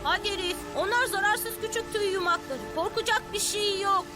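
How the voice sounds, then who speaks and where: A woman's voice: extremely high pitch, fairly quick. A woman playing a confident young boy hero in a Turkish-dubbed action cartoon, bossy and encouraging to teammates. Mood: urgent. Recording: music behind the voice.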